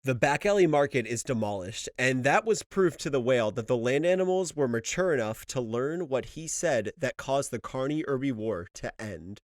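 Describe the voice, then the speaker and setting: A man's voice: medium pitch, dry recording, clean studio sound. A man hosting an anime lore video, delivering scripted commentary in an emphatic way. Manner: emphatic, exasperated asides, swearing. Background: background music.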